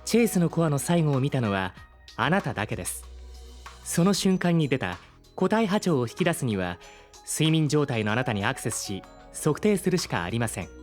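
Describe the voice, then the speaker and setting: A man playing a polite, caring artificial intelligence with formal, measured speech in a Japanese audio drama. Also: mid-range voice, big pitch swings, soft background music, natural voice. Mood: clinical, polite.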